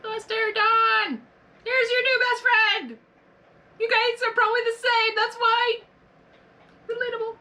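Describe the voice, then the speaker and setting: A woman giving an excited live reaction, gushing and exclaiming as she talks back to a show. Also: very high-pitched, measured pace, pauses often. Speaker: reaction video host.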